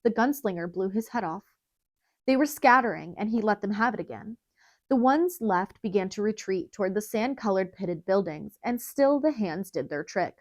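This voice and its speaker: A woman narrates an audiobook in a dramatic, scripted storytelling style. Her voice sits at a middle pitch for a woman, and the recording is close and dry, with very little room sound. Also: expressive, moderate pace, no echo.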